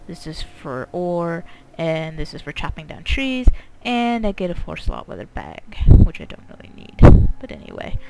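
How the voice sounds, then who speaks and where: A woman's voice: fairly low pitch, rough recording. A woman giving casual gameplay commentary, thinking aloud informally with her mouth very close to the microphone. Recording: loud noise, breathy mouth-on-mic clicks.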